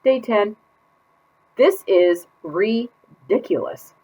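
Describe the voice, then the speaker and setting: A woman's voice: mid-range voice, animated, very slow. A woman reading a children's picture book aloud as a put-upon goldfish keeping a diary, with exaggerated, exasperated emphasis. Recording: dull lo-fi sound.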